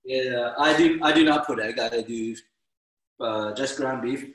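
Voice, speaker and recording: A man's voice: medium pitch, measured pace, fairly bright tone, reverberant, rough recording. A middle-aged man from the United States explaining a recipe casually on a video call from his home kitchen, with restarts. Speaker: guest cook. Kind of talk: casual cooking demonstration. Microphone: laptop video call.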